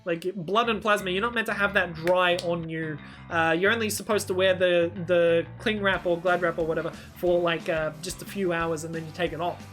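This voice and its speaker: Young aussie woman streamer, crisp bright tone, rambly and chatty, some faint music behind. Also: fairly low pitch, fairly even intonation, moderate pace, few pauses. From Australia. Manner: rambling, self-interrupting, exclaiming in surprise. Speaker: streamer reacting to clips. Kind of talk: casual reaction commentary.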